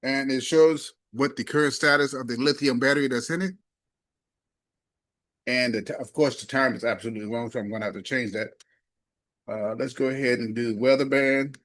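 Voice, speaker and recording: A man with a mid-pitched voice walks through a product demonstration in a casual, folksy manner. He leans on key words and talks himself through each step. The recording is close and dry, with very little room sound. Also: steady tone, fairly quick, a bit bright, no echo. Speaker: product reviewer. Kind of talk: casual hands-on gadget demonstration.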